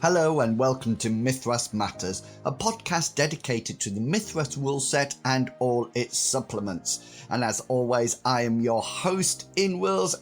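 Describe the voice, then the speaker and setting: A man in his fifties hosting a solo podcast, husky from a recent sore throat, chatty with self-deprecating asides. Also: mid-range voice. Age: 50s. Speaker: podcast host. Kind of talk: scripted solo podcast monologue.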